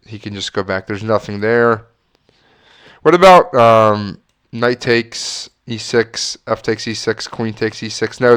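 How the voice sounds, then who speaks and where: A man's voice: fairly low pitch, fairly bright tone, clean tone. A man with a stuffy nose and a slight cough thinking aloud through chess moves as he plays, often correcting himself. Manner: musing, self-correcting, talks through moves. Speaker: chess streamer.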